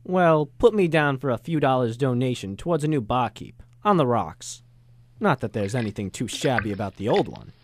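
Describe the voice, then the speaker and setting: A man's voice: medium pitch, expressive, moderate pace, a little mellow, a little hiss or hum, close to an everyday voice. A man playing a wry, slangy American ex-journalist in his thirties, narrating and talking in a 1930s noir radio drama. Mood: wry, flirtatious. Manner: wisecracking, slangy. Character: wry, hard-boiled American ex-journalist of thirty-two in 1939.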